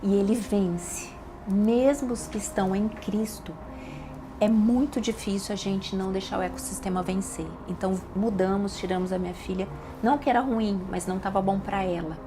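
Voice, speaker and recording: Emphatic Brazilian woman telling her story. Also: medium pitch. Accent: Brazilian Portuguese. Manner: emotional, emphatic storytelling with repetitions. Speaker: doctor and podcast guest. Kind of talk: personal testimony in a podcast interview. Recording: audible background noise.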